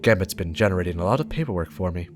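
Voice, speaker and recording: Man performing a stern, low-voiced sci-fi investigator. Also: lively intonation, racing. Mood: businesslike, brooding. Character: stern, driven sci-fi investigator dictating secret field reports. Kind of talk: dramatic reading of game lore. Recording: music behind the voice.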